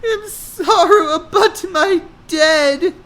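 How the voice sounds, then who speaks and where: A man voicing a dramatic, lovestruck teenage girl in a put-on falsetto for a fan-fiction parody. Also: takes it slowly, fairly bright tone, full character voice. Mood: tearful, upset.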